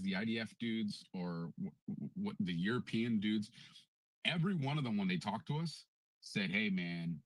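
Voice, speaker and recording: A man's voice: lowish voice, slightly rough, no echo, rough recording. A man talking bluntly in a casual live audio chat, telling stories and swearing.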